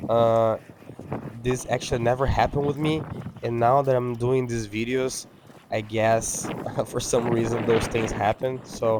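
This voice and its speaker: A man's voice: medium pitch, very noisy. Portuguese-accented man chatting with fillers. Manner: halting, many fillers and restarts. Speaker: window cleaner. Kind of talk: casual talk-over.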